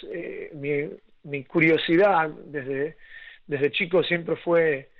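A man's voice: on the high side, dry recording, lo-fi sound. A young man with an Argentine accent, raised in Argentina and Venezuela, telling his story as an interview guest with many restarts and fillers. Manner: rambling, many restarts and fillers, laughs often.

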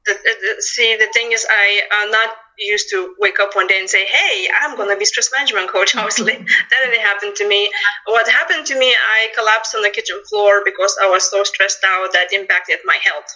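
A woman's voice: mid-range voice, steady tone, moderate pace, bright, forceful tone, dull audio. A woman with a Lithuanian accent, a stress management coach, telling her story on a video call with many fillers and restarts. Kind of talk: casual interview talk.

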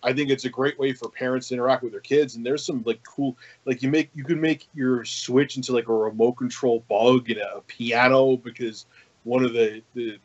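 Male guest, medium pitch, conversational explainer, a little lo-fi. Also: steady tone, normal speed. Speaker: podcast guest, online video maker. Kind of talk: casual explanatory opinion.

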